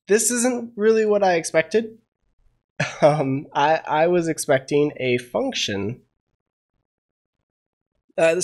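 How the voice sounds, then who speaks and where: Man coding aloud, slightly high voice. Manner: thinking aloud, many "uh" fillers, unsure asides. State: getting over a cold. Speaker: programming tutorial host. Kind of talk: casual screen-share coding tutorial.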